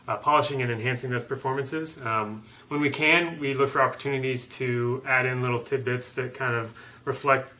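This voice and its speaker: Bright, quick-talking male animator. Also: mid-range voice, steady tone. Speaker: animator interview guest. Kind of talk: casual interview.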